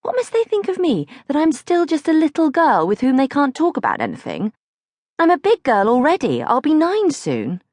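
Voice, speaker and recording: A woman's voice: sits high, wildly swooping pitch, moderate pace, darkish tone, no room sound, voice pushed up. A woman voicing an anxious, inquisitive eight-year-old girl in an audiobook diary, plaintive and full of questions. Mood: indignant, puzzled. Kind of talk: acted audiobook diary reading.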